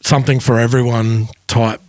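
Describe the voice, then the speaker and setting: A man's voice: medium pitch, little pitch movement, normal speed, a little mellow, no echo. A man chatting casually and enthusiastically as co-host of a music podcast, a bit nerdy. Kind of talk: casual chat.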